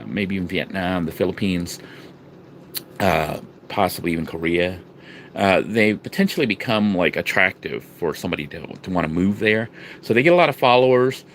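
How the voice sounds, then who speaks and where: Rambling low-voiced man, faint background hiss. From United States. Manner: rambling, with frequent restarts and fillers; swings from low murmurs to sudden loud emphatic bursts. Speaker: vlogger. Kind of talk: casual vlog talk to camera.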